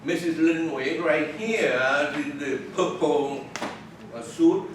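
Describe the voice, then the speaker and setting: An older man with a Vietnamese accent moderating a conference panel, speaking in a halting way and often correcting himself. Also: on the high side, a little hiss or hum. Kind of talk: unscripted conference talk. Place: conference room. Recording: echoey room, voice from a distance.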